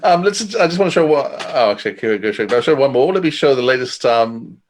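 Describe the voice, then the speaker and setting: A man's voice: mid-range voice, expressive, quick pace. A man hosting a podcast interview in a casual, enthusiastic and admiring way. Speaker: podcast host.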